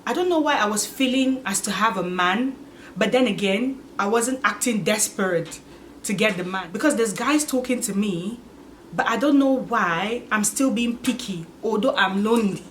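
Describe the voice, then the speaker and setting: A woman in her twenties talking candidly to her channel, musing aloud and filling pauses with "like" and "you get it?". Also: medium pitch, slight background noise. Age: 20s. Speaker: vlogger. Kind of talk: casual confessional vlog.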